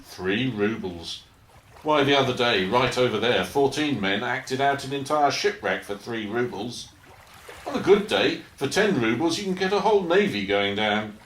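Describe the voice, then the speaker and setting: An actor playing a matter-of-fact dockside police officer, deadpan and folksy, in an amateur radio play. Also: male voice, medium pitch, normal speed, echoey room, a little hiss or hum, natural voice, rough recording. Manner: deadpan, bargain-minded, folksy.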